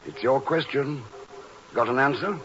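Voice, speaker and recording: An actor playing an unflappable secret agent in 1950s radio drama, clipped, wry and commanding. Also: male voice, mid-range voice, a little slow, fairly bright tone, close to an everyday voice. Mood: cool, firm. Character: unflappable secret agent, brisk, blunt American-style radio hero. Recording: muffled 1950s radio recording, faint hiss.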